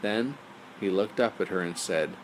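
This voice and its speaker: A man's voice: low-pitched, moderate pace, a little hiss or hum, poor audio. A man reading a bedtime story as storyteller, speaking simply as if to young listeners.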